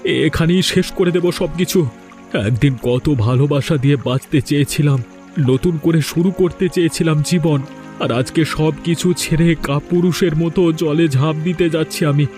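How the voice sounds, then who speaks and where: A man's voice: on the high side, darkish tone, close to an everyday voice. A man with a West Bengal accent voicing a poor, life-weary village father in a cartoon, lamenting melodramatically. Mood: anguished, resolved. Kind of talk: cartoon voice acting.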